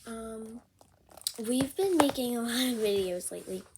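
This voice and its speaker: A woman's voice: a little high, normal speed, background noise. A young girl hosting a casual vlog in which she reads picture books aloud. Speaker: channel host.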